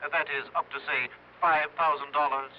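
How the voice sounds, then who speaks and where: A man acts out a stiff, formal insurance executive in a vintage radio play. His voice is fairly high and hurried, with humorless hesitations. The recording is a bit muffled, with faint noise. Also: little pitch movement, natural voice. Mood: stiff, businesslike. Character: fussy, stiff male insurance company executive. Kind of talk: 1950s radio drama acting. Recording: slightly noisy, muffled old radio recording.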